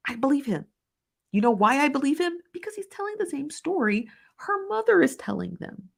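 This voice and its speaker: A woman's voice: high voice, very animated, vocal fry. A woman hosting a commentary show, arguing her case with emphatic repetition and reading viewer comments. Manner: emphatic, repetitive, argumentative, with quick laughs.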